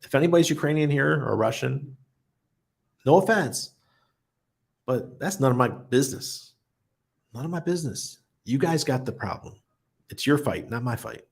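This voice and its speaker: A man's voice: medium pitch, expressive, normal speed, creaky, pauses often, husky. A man hosting a casual livestream, reading viewer comments aloud and answering them in a blunt, chatty way, often laughing. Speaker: livestream host.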